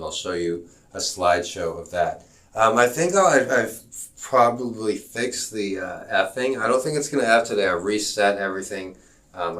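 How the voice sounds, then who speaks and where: Low-voiced male teacher, rambling live-stream lecture, heard from back off the mic, lots of uhs. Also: a bit bright, far from the mic. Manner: rambling, many fillers and restarts, joking asides. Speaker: online geography teacher.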